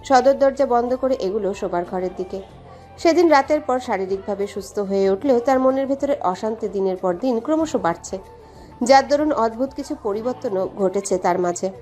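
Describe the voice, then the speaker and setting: A woman's voice: a little high, expressive, a little fast, dark tone, faint music behind. A woman reading a scripted dramatic story as its narrator.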